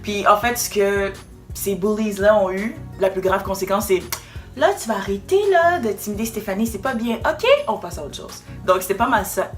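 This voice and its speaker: Young woman, talks straight and emphatic, mid pitch, faint music behind. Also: moderate pace. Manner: direct, emphatic storytelling. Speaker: co-host. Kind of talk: casual chat.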